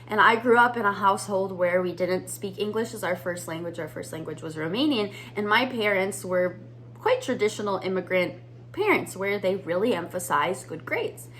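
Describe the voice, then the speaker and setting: A woman's voice: mid-range voice, expressive, moderate pace, fairly bright tone, slight background noise. A woman coaching business owners on mindset, talking to camera in an upbeat, conversational way. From United States. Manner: upbeat, conversational, addresses viewers as "you guys". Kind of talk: teaching to camera.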